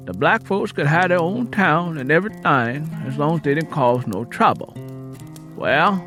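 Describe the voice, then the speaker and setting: A man voicing a plain-spoken, serious woman in an audiobook, recounting in measured, folksy Southern US dialect. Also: a little high, a bit bright, voice pushed up. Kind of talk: acted audiobook character dialogue. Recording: music playing under the voice.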